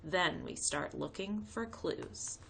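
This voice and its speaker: A woman's voice: lowish voice, slow pace, creaky, a bit bright, a little hiss or hum, exaggerated voice. A woman reading a children's storybook, voicing a confident, playful boy who bosses his friends in breezy slang. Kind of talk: read-aloud children's storybook.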